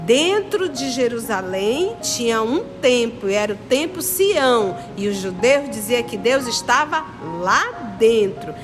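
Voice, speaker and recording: A woman with a Manaus accent explains things to children in a fairly high voice. She repeats her points and asks questions as she goes. Her voice rings with long reverb over clear music. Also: lively intonation, unhurried, hardly any pauses. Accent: northern Brazilian, Manaus. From Manaus, Amazonas, Brazil. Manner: didactic, repetitive, questioning listeners. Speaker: children's religious study teacher. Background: clear background music.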